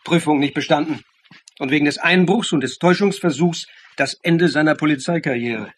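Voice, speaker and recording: A man's voice: a little high, brisk, slightly rough. An actor in a radio crime play voicing a clever, persistent sleuth who lays out his theory in an assured, needling way. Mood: assured, explanatory. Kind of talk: German radio play dialogue.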